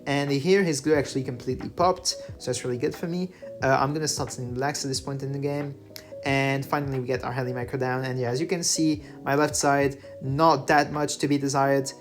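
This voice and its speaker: A man whose first language is French, talking through his game replays in a relaxed voice-over full of fillers and self-corrections. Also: medium pitch, brisk. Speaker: gaming channel host. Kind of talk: gameplay commentary voice-over. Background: faint background music.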